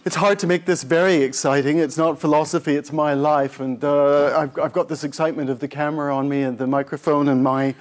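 A man's voice: fairly high pitch, steady tone, brisk, few pauses. A middle-aged man from Scotland, a professor giving an informal talk in a lecture room on a lapel mic, rambling and self-deprecating with many fillers and restarts.

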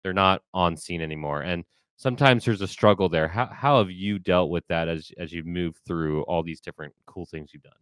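A low, smooth male voice with a dry, close sound. He hosts a podcast interview in a relaxed, thinking-aloud way. He sits at a studio mic in a home office. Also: moderate pace, dry recording. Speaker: podcast host. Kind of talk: conversational interview. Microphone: studio mic on boom arm. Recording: video call.

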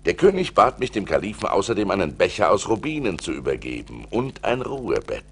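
An actor playing a seafarer who recounts perilous adventures theatrically and deliberately, in an audio play transferred from vinyl. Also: male voice, sits low, big pitch swings, vocal fry, husky, heavily acted. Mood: measured, recounting. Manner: theatrical, deliberate, swelling with suspense. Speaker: narrator. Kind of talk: dramatic storytelling in an audio play.

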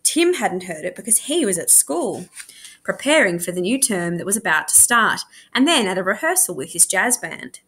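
A woman reads a story aloud with lively, swooping intonation. She sounds like a school librarian sharing a book, animated and expressive in her delivery. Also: medium pitch, normal speed, breathy edge. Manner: animated, acts out dialogue.

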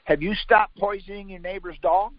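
A man's voice: high-pitched, fairly even intonation, normal speed, dry recording. A man teaching on a conference call in an informal, insistent way, quizzing and contradicting his listener. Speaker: conference call leader teaching legal theory. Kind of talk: informal instruction by questions. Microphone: conference call line. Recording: muffled lo-fi conference call audio.

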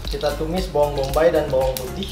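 A man's voice: on the high side, a little fast. A man in his thirties, a chef, cooking at home and explaining each step, asking questions and answering them himself. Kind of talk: casual cooking demonstration. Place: bright home kitchen, indoors. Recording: roomy kitchen with music behind. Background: background music.

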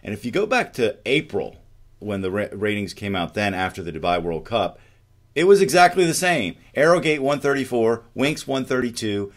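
A man's voice: mid-range voice, very animated, normal speed. A middle-aged man hosting a casual discussion show, posing questions to his co-host over a video call. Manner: conversational, poses questions to co-host. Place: studio with dark backdrop.

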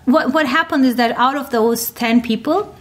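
Woman guest, quick bursts. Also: sits high. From Turkey. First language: Turkish. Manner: many fillers, talks in quick bursts. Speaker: guest, science podcaster. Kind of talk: interview answers.

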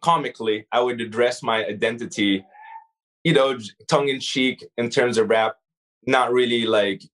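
A man with a mixed Arabic-influenced English accent talks in a casual podcast chat. He speaks at a middle pitch, reflective and searching, often restarting phrases and leaning on "you know". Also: moderate pace, a bit bright. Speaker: rapper, guest.

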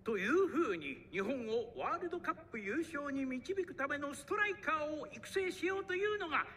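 An actor playing a nervous, evasive official at an anime press conference, formal and placating. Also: male voice, extremely high pitch, animated, moderate pace, bright, forceful tone, a little hiss or hum, full character voice. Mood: flustered. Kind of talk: anime voice acting.

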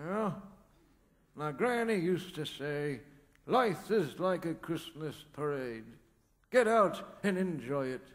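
A man voicing a crude, forgetful old man from a care home who grumbles and suddenly shouts. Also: fairly high pitch, animated, takes it slowly, voice pushed down. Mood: grumpy, crude. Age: older. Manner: grumpy, bawdy, forgetful, shouting bursts. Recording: echoey hall with loud audience laughter.

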